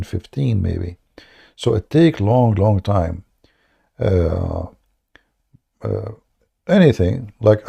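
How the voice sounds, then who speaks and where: Gravelly low-voiced man lecturing earnestly. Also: dark tone. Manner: earnest, lecturing, speaks English as a second language. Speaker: host. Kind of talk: casual chat answering a caller.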